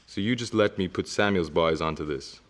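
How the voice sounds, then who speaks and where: A man's voice: deep voice, brisk, fairly bright tone. A man doing a lecturing police chief. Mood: stern. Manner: authoritative, lecturing. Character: gruff police chief, senior and cautious. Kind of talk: amateur film voice acting.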